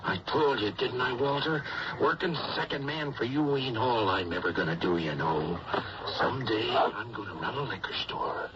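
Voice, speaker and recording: An actor playing a resentful, sardonic fishing boat hand in a radio drama. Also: male voice, medium pitch, moderate pace, close to an everyday voice. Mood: grumbling. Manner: grumbling, sardonic, colloquial. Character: bitter, resentful sailor, second man on a fishing boat. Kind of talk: first-person radio drama monologue and dialogue. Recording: dull radio recording, loud background noise.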